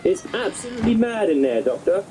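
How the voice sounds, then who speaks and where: A man playing a bewildered but plucky offworld settler in an audio drama, speaking in an animated way. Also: sits high, brisk, background noise. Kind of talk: scripted audio drama acting.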